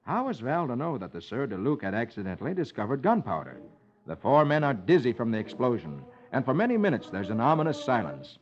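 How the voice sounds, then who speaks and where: A man's voice: mid-range voice, big pitch swings, a little fast. An American man hosting a children's radio show, reading the funnies aloud in a theatrical, articulate 1950s storytelling style. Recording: muffled old radio recording, music behind.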